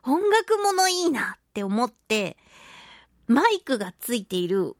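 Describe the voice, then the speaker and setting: A woman with a fairly high voice hosts a chatty solo radio show. She tells everyday stories in an animated, exclamatory, giggly manner. The sound is close and very dry, as from a studio microphone. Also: unhurried, no room sound. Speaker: radio talk show host; voice actress. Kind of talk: chatty solo radio monologue about daily life.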